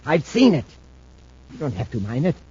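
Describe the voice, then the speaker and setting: Husky, obsessed space drifter. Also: male voice, mid-range voice, sing-song, normal speed, dark tone. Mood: feverish, driven. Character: thin, weakened, obsessed male spacer seeking his old partner. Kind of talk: 1950s radio drama acting. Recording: muffled old-time radio recording.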